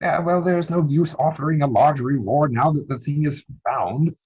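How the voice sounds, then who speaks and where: Man playing flustered gentleman suitor, dull lo-fi audio. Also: a little high, moderate pace, slight background noise, natural voice. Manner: formal, mannered, indignant delivery. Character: earnest, easily flustered upper-class young gentleman suitor. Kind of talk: dramatic reading of a period stage comedy. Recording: dull lo-fi video-call audio.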